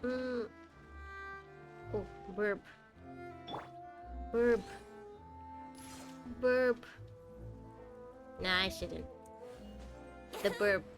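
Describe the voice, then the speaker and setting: A woman's voice: mid-range voice, extremely slow, pauses often. A woman streaming a video game with casual commentary, making wry asides and talking back to the characters. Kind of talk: casual live gameplay commentary. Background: game music.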